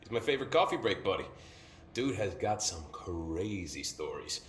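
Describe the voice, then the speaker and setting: Security chief, video game: deep, casual, irreverent, friendly delivery, roomy sound over faint noise. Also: male voice, big pitch swings, moderate pace. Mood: easygoing. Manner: casual, talkative, wry, swears freely. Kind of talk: naturalistic video game dialogue. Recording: roomy echo, background noise.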